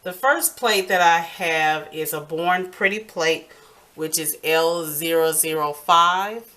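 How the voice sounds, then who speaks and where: A woman hosting a nail art tutorial in casual, step-by-step talk, halting and pausing mid-sentence. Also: deep voice, pushed and bright.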